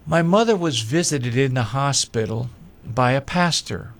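An older man from Pennsylvania, a local candidate, telling his story slowly in an interview with pauses and fillers. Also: medium pitch, expressive. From Pennsylvania, USA. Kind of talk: interview answers.

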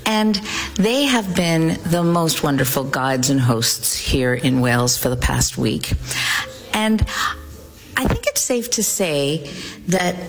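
A woman with a very low, gravelly voice hosts a travel radio show. She speaks in a friendly way straight to her listeners, with faint music behind her. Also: big pitch swings, slow. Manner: friendly, addresses listeners directly.

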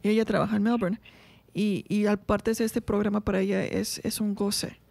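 A woman's voice: mid-range voice, little pitch movement, moderate pace, gravelly, a little mellow, dry recording. A woman from Mexico co-hosting a radio chat, chatty and self-deprecating, laughing at herself. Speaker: radio co-host. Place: radio studio.

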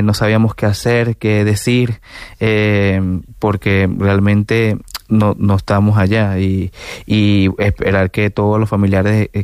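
Low, smooth-voiced Venezuelan man, evenly pitched. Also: a little mellow, dry recording, clean studio sound. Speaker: studio guest. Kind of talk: earnest spoken interview testimony.

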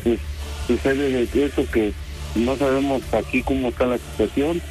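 A man with a Mexican accent talking on a phone call in a hesitant, apologetic, halting way. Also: mid-range voice, steady tone, darkish tone, soft background music. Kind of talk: spontaneous phone conversation.

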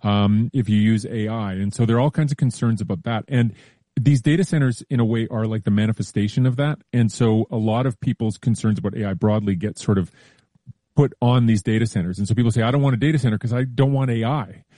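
A man explaining science news in a relaxed, careful way during a casual chat, with frequent hedges and restarts. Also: on the low side, fast, no room sound, studio quality. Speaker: science columnist.

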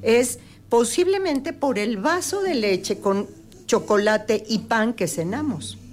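A woman's voice: fairly high pitch, animated, slow pace. A woman on a talk show, patiently explaining health topics with everyday examples. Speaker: health guest expert.

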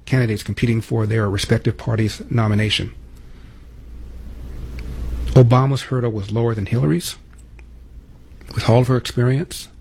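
A man's voice: medium pitch, steady tone, normal speed, gravelly, pauses often, darkish tone, a little hiss or hum. An American man hosting political commentary, delivering an opinionated monologue with emphatic rhetorical questions.

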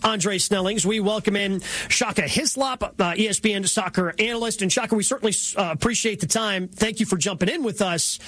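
A man's voice: high-pitched, a little fast, few pauses, fairly bright tone. A man hosting a sports talk radio show, talking casually and chattily.

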